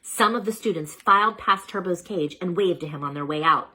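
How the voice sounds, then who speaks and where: A woman reading a children's storybook aloud as a storyteller, dramatic and expressive, with chatty asides to the listeners. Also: fairly low pitch, fairly quick, husky, rough recording.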